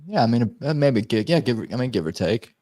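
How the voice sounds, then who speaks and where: A man with a deep voice chatting as a musician guest on a livestream, laid-back and self-deprecating. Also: animated, fairly quick, dark tone, no room sound, clean studio sound. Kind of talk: casual chat with singing practice.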